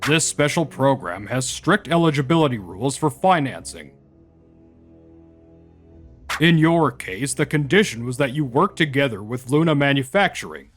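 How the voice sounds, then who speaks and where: An actor playing a polite but firm bank loan officer in a manga dub. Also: male voice, medium pitch, big pitch swings, moderate pace, hardly any pauses, fairly bright tone, soft background music.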